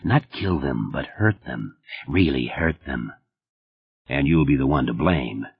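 A man reads as a quietly menacing killer, flat and cold in a low, husky register, sinking even lower and softer than the narration. Each line comes out teasing and deadly calm, on a slightly dull recording. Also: a little slow, heavily acted. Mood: cold threat.

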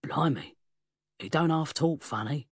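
A woman's voice: deep voice, unhurried, husky, no echo, studio quality, exaggerated voice. A woman voicing a bluff working-class English police sergeant with a blunt military manner, in an audiobook. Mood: unimpressed. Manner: blunt, military, prone to bellowing.

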